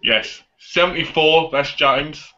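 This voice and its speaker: A man's voice: on the high side, slow pace, sharp tone. A man in his twenties hosting a casual live online chat show. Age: 20s. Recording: dull lo-fi online call audio.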